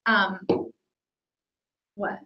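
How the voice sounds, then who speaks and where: A woman's voice: drawn-out words, echoey room, lo-fi sound. A woman co-hosting a podcast who encourages and coaches her co-hosts through a song in a studio. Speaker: podcast co-host. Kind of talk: casual chat, singing a comic song with co-hosts.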